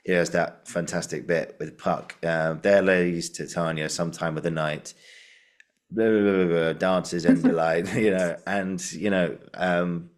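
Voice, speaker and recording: A man's voice: sits low, steady tone, unhurried. A man hosting a podcast interview in an informal, jokey, conversational way, thinking aloud as he goes. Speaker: podcast host interviewing a guest.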